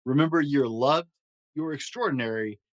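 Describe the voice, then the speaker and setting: A man narrating a children's storybook aloud with expressive delivery. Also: mid-range voice, moderate pace. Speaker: storyteller and host.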